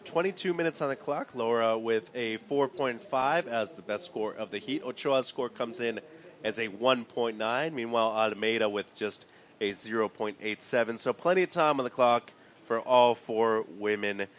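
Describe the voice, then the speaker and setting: A man doing live play-by-play for a sporting event, his voice mid-pitched and ordinary. He keeps a steady, informative delivery, reading out scores and the time on the clock. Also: normal speed. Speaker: play-by-play commentator.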